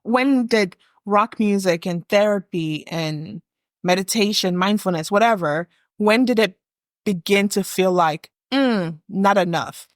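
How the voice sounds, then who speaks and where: A woman's voice: medium pitch, measured pace, dry recording, clean studio sound. A young adult woman from West Africa, co-hosting a reflective podcast conversation and building her points in long, earnest runs. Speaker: podcast co-host.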